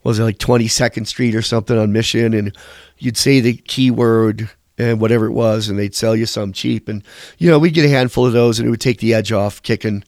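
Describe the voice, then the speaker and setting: A man's voice: lowish voice, fairly even intonation, brisk, darkish tone, no room sound, studio quality. A man being interviewed as a musician, telling candid, rambling stories and swearing casually. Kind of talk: candid conversational storytelling.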